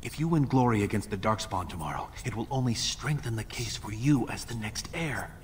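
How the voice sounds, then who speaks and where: An actor playing an earnest, smoothly scheming younger dwarven prince in a fantasy video game, deliberate and conspiratorial. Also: male voice, fairly low pitch, animated, slight background noise, lightly acted. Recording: game dialogue under music.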